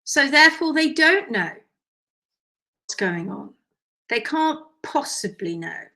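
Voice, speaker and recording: A woman hoof care teacher whose voice is croaky and hoarse from strained vocal cords, yet still bright and forceful. She sits a little high in pitch and talks in an animated, emphatic way, with quick asides as she reads out viewer comments. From United Kingdom. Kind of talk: live session chat, reading viewer comments.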